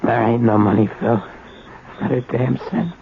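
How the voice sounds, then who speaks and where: An actor playing a rough, remorseful dying father in a 1970s radio drama, speaking halting, slangy tough-guy talk. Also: mid-range voice, animated. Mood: bitter regret. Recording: dull, noisy 1970s radio recording.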